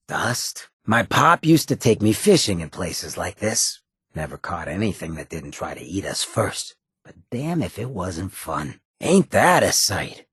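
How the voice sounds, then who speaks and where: A roguish male ghoul companion in a video game, his voice heavily rasped and on the low side. He talks in wry, streetwise slang with a lively, swinging melody and a sly, chem-loving swagger. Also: dry recording, clean studio sound, exaggerated voice. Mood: sly, intimate. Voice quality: raspy, gravelly.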